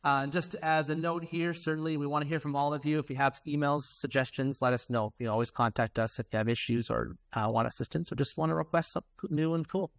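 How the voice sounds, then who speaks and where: A middle-aged man presenting software to a conference in an informal, explanatory, conversational way. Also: a little high, fairly even intonation, fast talker, vocal fry, hardly any pauses.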